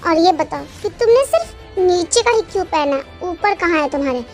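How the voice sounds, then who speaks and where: A woman's voice: very high-pitched, lively intonation, normal speed, faint music behind. A woman voicing a jealous, sulky young wife in a romance story, snapping and sarcastic. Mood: annoyed.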